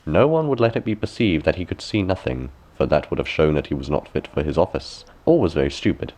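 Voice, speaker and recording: Deep-voiced man, very animated storyteller. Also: fairly quick. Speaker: audiobook reader. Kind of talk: expressive fairy tale narration.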